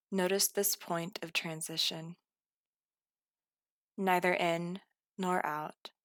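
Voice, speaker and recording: A woman guiding a scripted meditation in a calm, soothing, deliberate manner. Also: fairly low pitch, no echo, studio quality. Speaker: meditation guide.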